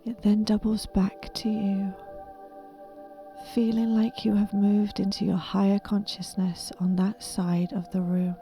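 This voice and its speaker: A woman with a soft, breathy, whispery voice gently and soothingly guiding a meditation in a deliberate manner. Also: medium pitch, little pitch movement, soft background music. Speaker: meditation guide. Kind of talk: guided meditation, soft half-whispered narration.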